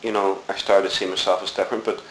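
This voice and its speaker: Deep-voiced Belfast man, echoey room. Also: level tone, fast, a bit bright. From Northern Ireland. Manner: rambling, reflective storytelling with many fillers. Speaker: interviewee. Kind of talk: oral history interview. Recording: echoey room with faint hiss.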